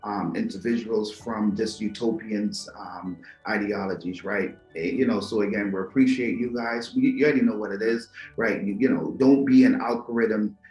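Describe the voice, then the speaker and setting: A man's voice: lowish voice, fairly even intonation, normal speed, hardly any pauses, a little mellow, reverberant, soft background music, rough recording. A man from Trinidad and Tobago hosts a talk show, musing to viewers with rhetorical questions and repeated words. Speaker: podcast host. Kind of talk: philosophical monologue to viewers.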